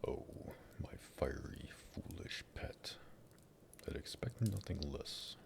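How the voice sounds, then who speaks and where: A man playing a sadistic, possessive vampire master in an ASMR roleplay, in a breathy, gravelly whisper with a teasing, condescending drawl. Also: deep voice, animated, slow pace, exaggerated voice. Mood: possessive, seductive. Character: sadistic vampire master, possessive and menacing, toying with captive lover.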